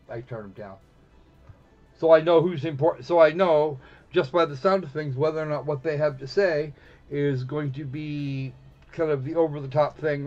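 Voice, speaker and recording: A man with a fairly high voice chats casually through a game stream, circling back over his words. The mic sounds a bit dull and lo-fi, and music plays behind him. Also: a little mellow. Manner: rambling, halting and repeating himself, swears casually. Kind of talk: casual live-stream commentary while setting up a visual novel. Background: background music.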